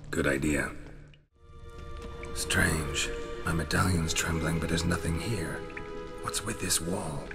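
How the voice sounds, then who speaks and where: Super low gravelly monster slayer from a video game, tired n sarcastic, deadpan, music behind him. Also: male voice, slow, long pauses, heavily acted. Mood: dry, terse. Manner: laconic, dry, deadpan. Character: gruff, world-weary male monster hunter, sardonic and terse. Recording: game dialogue over clear background music.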